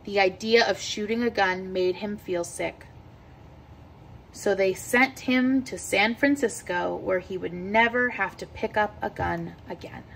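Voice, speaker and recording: A woman reading a children's picture book aloud with expressive, deliberate storytelling delivery. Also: medium pitch, creaky, slight background noise, rough recording. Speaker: read-aloud teacher.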